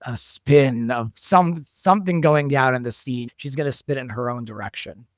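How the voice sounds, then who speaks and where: A man's voice: mid-range voice, moderate pace, no room sound, clean studio sound. A man who creates audio drama, talking casually as a guest in an interview.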